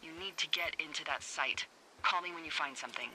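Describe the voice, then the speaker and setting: An actress playing a wry, breezy American fire lookout speaking over a two-way radio in a video game. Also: female voice, low-pitched, fairly quick, background noise. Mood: tense, fed up. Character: wry, chipper, foul-mouthed adult woman fire lookout. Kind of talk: naturalistic video game dialogue.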